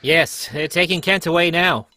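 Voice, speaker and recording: A man playing a brisk, skeptical police inspector in an old-time radio mystery, blunt and colloquial. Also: fairly high pitch, sharp tone, dry recording, clean studio sound. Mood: brisk, questioning.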